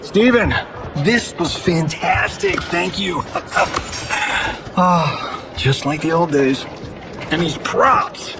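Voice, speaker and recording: An actor playing a cocky, wisecracking action movie star in a cinematic video game, flippant and showy. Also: male voice, high-pitched, takes it slowly, pauses often, loud music behind the voice. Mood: excited, pleased.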